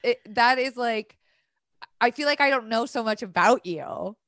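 A woman's voice: a little high, lively intonation, a little slow, a bit bright, no room sound. Woman podcast host raised in florida, gushy, swears, says like a lot, dead dry mic. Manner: gushing, swears freely, lots of "like". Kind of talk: casual chat.

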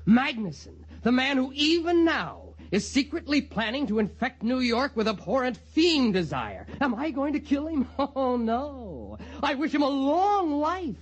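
Crazed avenger for 1950s radio play: very high, husky voice, theatrical, falsely polite, obsessive. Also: male voice, big pitch swings, measured pace, hardly any pauses, dull audio, exaggerated voice. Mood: gleeful, maniacal. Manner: theatrical, swinging from polite to maniacal. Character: crazed obsessive man, falsely calm, gleefully vengeful. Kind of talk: 1950s radio drama acting.

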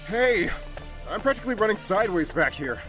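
A man's voice: sits high, big pitch swings, fairly quick, music in the background. A man playing a fussy, nervously griping guard turned adventurer in a fantasy audio drama. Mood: fussy, uneasy.

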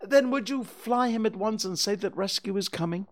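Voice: mid-range voice, normal speed, voice pushed up, close to an everyday voice. A storybook reader voicing an earnest, eager and kind-hearted little boy. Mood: urgent. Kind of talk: children's storybook character reading.

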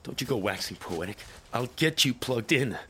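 An actor playing a weary, determined military veteran with failing implants in an audio drama, gritty and urgent. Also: male voice, medium pitch, expressive, normal speed, pushed and bright, a little hiss or hum. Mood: tense, gruff. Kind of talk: cinematic audio drama acting with noir narration.

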